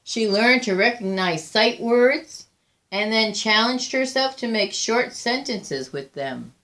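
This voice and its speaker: A woman reading a children's picture book aloud in a deliberate, expressive storytelling manner, with drawn-out emphasis and pauses. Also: fairly high pitch. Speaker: volunteer picture book reader.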